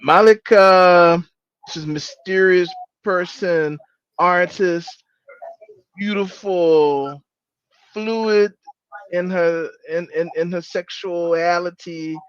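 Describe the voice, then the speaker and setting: Animated man on a dull, dry line. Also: high-pitched, clear, resonant tone, no echo. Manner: animated, halting restarts, playful retelling. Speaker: panelist. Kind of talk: casual panel discussion.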